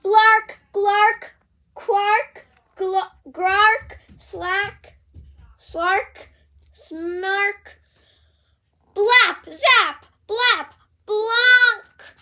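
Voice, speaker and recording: A reader voicing an excitable, bossy female storybook pig who exclaims and blurts out loud nonsense. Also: very high voice, extremely slow, pitched above the performer's own voice, heavily acted. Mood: loud blurting.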